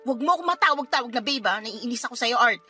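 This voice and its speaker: A woman's voice: on the high side, animated, quick pace, pushed and bright, faint music behind. A woman playing an indignant, defensive girlfriend in a Filipino radio drama, with emphatic, sarcastic retorts and a Filipino accent. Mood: angry. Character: indignant girlfriend, defensive, sarcastic, hot-tempered older sister type.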